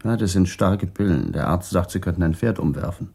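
A man's voice: low-pitched, fairly quick, vocal fry, a little mellow, slightly rough, full character voice. An actor playing a matter-of-fact male house resident answering police questions in a radio crime drama, plain and informative. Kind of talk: 1980s German radio crime drama dialogue.

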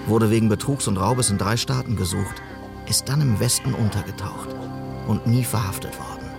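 Earnest, creaky-voiced western policeman. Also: lowish voice, normal speed, over music. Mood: reflective, factual. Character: earnest, idealistic policeman narrating his western manhunt. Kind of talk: radio drama acting and first-person narration.

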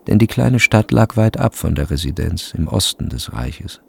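A man narrating literary prose in a radio drama, measured and reflective. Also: sits low, sing-song, gravelly, slightly rough. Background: music.

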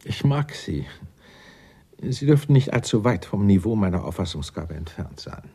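Radio drama: arrogant male suspect, condescending and wordy, mellow but rough-edged, very animated in pitch. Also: moderate pace, exaggerated voice. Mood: condescending, sneering. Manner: pompous, sneering, wordy.

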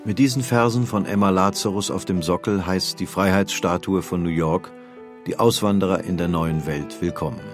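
A man's voice: low-pitched, moderate pace. A man narrating a documentary in a formal, announcing manner. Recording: music underneath.

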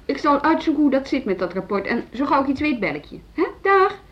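An actress playing a weary, harried music school director in conversational, naturalistic radio drama dialogue. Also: female voice, high-pitched, big pitch swings, fast talker. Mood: polite, busy. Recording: slightly dull radio drama recording.

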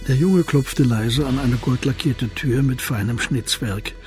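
A man's voice: mid-range voice, measured pace. Male crime-drama narrator, faint music behind. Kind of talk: scripted narration of a German crime radio play.

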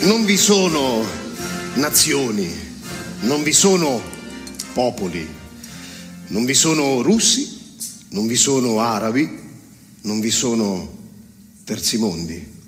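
A man's voice: mid-range voice, very animated, takes it slowly, long pauses. A man performing a theatrical monologue, declaiming emphatically in short, weighty phrases. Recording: reverberant hall with faint music.

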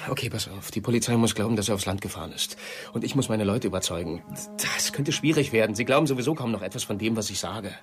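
A man's voice: lowish voice, fast talker, soft background music, close to an everyday voice. A man acting in a radio drama as a cold, secretive man covering up a crime, curt and controlling. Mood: cold, controlled.

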